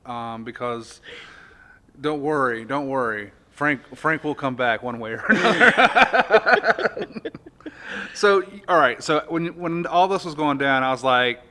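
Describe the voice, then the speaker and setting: A man's voice: fairly high pitch, animated, slow, pushed and bright. A man hosting a podcast with casual, wry, joking banter and questions. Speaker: podcast host.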